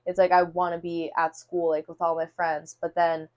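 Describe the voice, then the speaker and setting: A young woman college student whose first language is Russian, chatting casually as a podcast guest and quickly agreeing along the way. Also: lowish voice, monotone, a little mellow, muffled recording. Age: young adult. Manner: agreeable, quick to chime in with affirmations. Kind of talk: casual interview chat.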